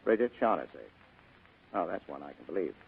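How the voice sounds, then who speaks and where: A man's voice: sits low, expressive, fairly quick, gravelly, breathy edge, exaggerated voice. A man playing a wry, tough, cynical private detective in a 1940s radio drama, slangy and sardonic. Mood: wry, teasing. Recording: narrow, tinny old radio broadcast.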